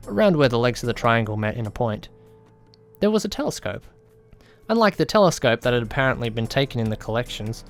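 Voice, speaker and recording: Male audiobook narrator over soft music. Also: medium pitch. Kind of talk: scripted first-person story narration. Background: background music.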